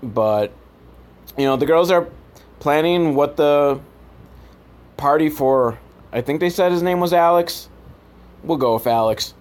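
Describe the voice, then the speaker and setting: A man's voice: fairly high pitch, unhurried, long pauses, a little mellow. A man hosting a podcast, giving a casual, profane and sarcastic comedic recap of films. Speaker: podcast host. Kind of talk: comedic film recap commentary, casual rant.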